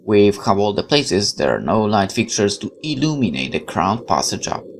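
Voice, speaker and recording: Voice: deep voice, big pitch swings, normal speed, slight background noise. A gamer doing let's play commentary, reading game text aloud expressively and questioning it. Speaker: gaming YouTuber.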